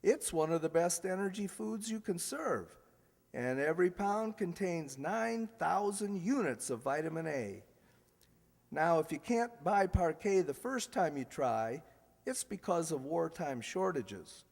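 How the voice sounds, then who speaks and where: Male radio-show announcer, high voice, playful ad-libber, reverberant hall recording. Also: lively intonation, a little slow, vocal fry, darkish tone. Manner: jokey, ad-libbing. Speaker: announcer and host. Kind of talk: live old-time radio show announcing. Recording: echoey hall with background hiss.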